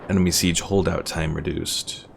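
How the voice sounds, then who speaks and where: A man's voice: low-pitched, level tone, moderate pace, creaky, a little hiss or hum. A man giving casual live commentary as a game streamer, chatty and thinking aloud. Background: game music.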